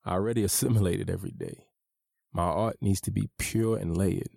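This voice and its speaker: A man reads his own memoir in a measured, earnest, personal way. His voice sits very low and carries a gravelly edge. The tone is dark and mellow, recorded dry and close with no room sound. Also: steady tone, no room sound. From United States. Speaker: author narrating his own audiobook. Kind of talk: reflective memoir narration.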